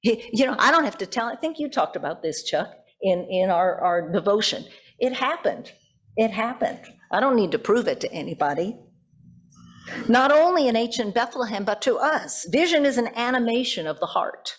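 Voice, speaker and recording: A middle-aged or older woman, a pastor, preaching reflectively in measured phrases with deliberate pauses. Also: fairly high pitch, very animated. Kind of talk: sermon with poetry quotations.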